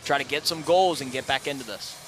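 A man giving relaxed, analytical hockey color commentary from an arena booth on a headset mic, often opening with "yeah". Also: mid-range voice, fairly quick, soft background music, rough recording.

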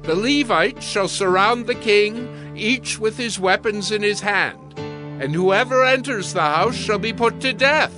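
An actor playing a stern, solemn Old Testament priest in a dramatized audio Bible, declaiming with authority. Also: male voice, sits high, a little slow, over music. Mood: commanding, solemn.

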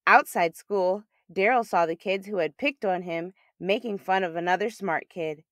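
A woman reading a children's picture book aloud as a storyteller, with expressive, emphatic delivery. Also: medium pitch, normal speed, no room sound, studio quality.

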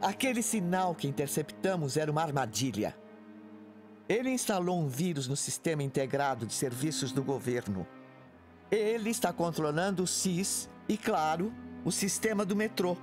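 An actor playing a grave, authoritative space squadron commander in an audio drama. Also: male voice, a little high, lively intonation, moderate pace, music in the background, lightly acted. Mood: grave, commanding.

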